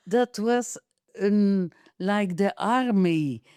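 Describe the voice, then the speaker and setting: Slow-spoken older Belgian grandmother. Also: medium pitch, warm tone, clean tone, no echo, clean studio sound. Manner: halting, searching for English words. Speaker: podcast guest. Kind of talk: storytelling.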